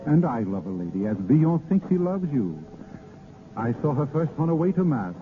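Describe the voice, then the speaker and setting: An actor playing an ardent, gallant vagabond poet posing as a lord, with theatrical, hesitant pauses, in a 1930s radio drama. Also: male voice, fairly high pitch, very animated, soft background music. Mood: ardent, wooing. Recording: muffled 1930s radio broadcast recording.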